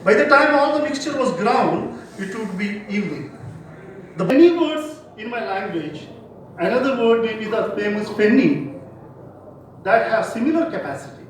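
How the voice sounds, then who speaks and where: A man from Mangalore with an Indian accent and a native Konkani speaker, giving a measured, formal prepared speech as an invited speaker. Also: high-pitched, sing-song, slight background noise. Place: large hall. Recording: echoey hall, speaker far from mic.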